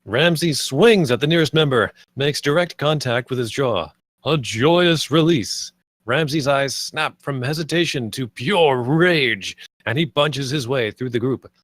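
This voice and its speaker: A man's voice: medium pitch, measured pace, a bit bright, no room sound. A man narrating stage directions at a live script table read.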